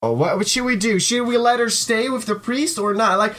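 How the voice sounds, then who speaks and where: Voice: mid-range voice, fairly quick, a bit bright. A video game host giving casual, informal, reactive commentary during a let's play. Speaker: host of a gaming channel.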